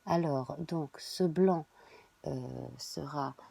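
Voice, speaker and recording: A woman, reading a monthly tarot spread while she has a cold, halting and filling pauses. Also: deep voice, vocal fry, warm tone, dry recording. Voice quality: hesitant, many fillers and restarts. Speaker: tarot reader.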